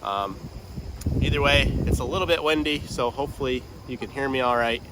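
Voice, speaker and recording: A man's voice: medium pitch, a little fast. A man with a head cold, stuffy and nasal, talking casually and hesitantly with many ums while outdoors in a windy pasture, recorded on a camera's built-in mic. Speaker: farm vlogger. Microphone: camera mic, no external mic.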